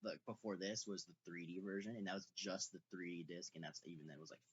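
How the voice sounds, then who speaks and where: A man's voice: sits low, fast talker, a little hiss or hum. An eighteen-year-old man co-hosting a podcast, talking eagerly with many stumbling restarts. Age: late teens. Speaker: podcast co-host. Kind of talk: casual chat. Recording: dull lo-fi podcast audio, dry.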